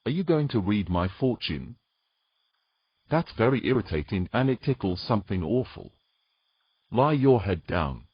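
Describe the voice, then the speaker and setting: A man playing a weary, gruff knight with dry, teasing humour in a romantic fantasy audio drama. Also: on the low side, sing-song, measured pace, pauses often, no room sound, dull audio, full character voice. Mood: grudging, teasing.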